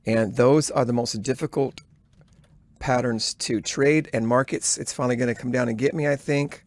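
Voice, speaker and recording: A man giving live day-trading commentary, thinking aloud in a halting, instructive way as he talks to himself and viewers. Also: medium pitch, steady tone. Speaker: day trader recording a trading journal.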